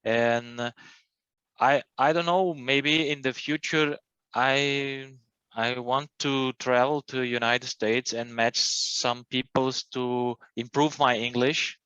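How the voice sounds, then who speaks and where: A man from Brazil with a Brazilian Portuguese accent, a student speaking haltingly in English with many fillers and restarts. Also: medium pitch, fairly even intonation, pushed and bright, clear, resonant tone, no room sound, dull audio. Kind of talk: class discussion.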